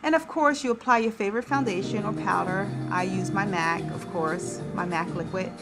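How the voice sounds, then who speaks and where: A woman's voice: medium pitch, moderate pace, rough recording. A woman in her thirties giving a casual, chatty, informal makeup tutorial as a beauty vlogger. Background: radio music playing in the background.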